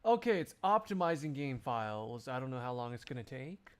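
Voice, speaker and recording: A man's voice: mid-range voice, sing-song, fairly quick, smooth. A man livestreaming, chatting casually to his viewers in a jokey, rambling, exclamatory way. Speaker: live streamer.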